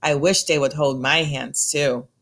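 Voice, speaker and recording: Female storybook reader, low register, expressive read-aloud for young children. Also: a little slow.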